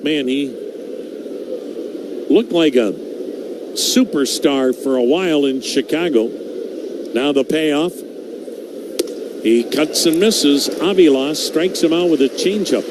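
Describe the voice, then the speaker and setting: A man calling a baseball game pitch by pitch on the radio, giving the count and the score in a measured way. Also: expressive. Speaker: radio play-by-play announcer. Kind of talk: baseball play-by-play commentary. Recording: noisy broadcast audio.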